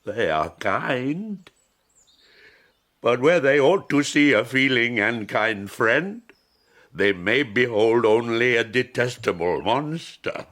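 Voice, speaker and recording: An actor playing a lonely, eloquent man-made monster in an audiobook drama, speaking formally and haltingly with a gravelly voice. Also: medium pitch, expressive, a bit bright. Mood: pleading. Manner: slow, halting, formal old-fashioned speech. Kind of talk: dramatised audiobook monologue and dialogue.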